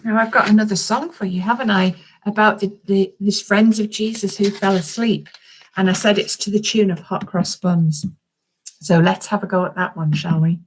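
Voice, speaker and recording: A woman's voice: mid-range voice, fairly even intonation, normal speed, slight background noise, low-quality recording. A woman reading a children's story aloud as its author, chatting expressively and speaking directly to her young listeners.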